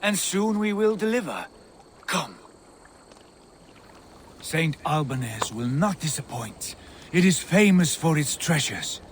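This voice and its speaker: An actor playing a proud, ambitious Viking jarl in a game cutscene, speaking with imperious, persuasive intensity. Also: male voice, on the high side, sing-song, slow, pauses often, a little hiss or hum. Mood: eager, confident. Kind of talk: video game cutscene dialogue.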